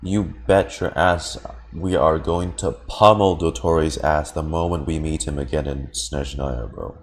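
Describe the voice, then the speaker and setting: A man's voice: a bass voice, fairly even intonation, measured pace. A man streaming a game and reacting to it in casual, slangy, informal chat.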